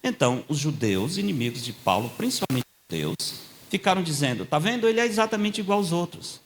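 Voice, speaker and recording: An older man preaching a sermon in a church. He tells stories in a conversational way, checks in with the congregation, and grows louder and higher when he quotes scripture. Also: very animated, fairly quick. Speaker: guest preacher. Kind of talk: sermon with storytelling.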